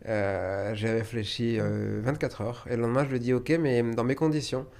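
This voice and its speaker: A man's voice: mid-range voice, fairly even intonation, moderate pace, creaky, darkish tone. A man from France, born in Tunisia, speaking emphatically and conversationally as an interview guest, telling stories. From born in Tunisia, grew up in France. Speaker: podcast guest, hotelier.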